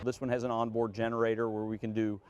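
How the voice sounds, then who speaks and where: A man's voice: fairly low pitch, flat delivery, fast, darkish tone, highs cut off, a little hiss or hum. A man who is a firefighter officer, explaining a fire service tradition in an interview, with frequent hesitations. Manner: explanatory, with many "uh" fillers. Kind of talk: on-site interview.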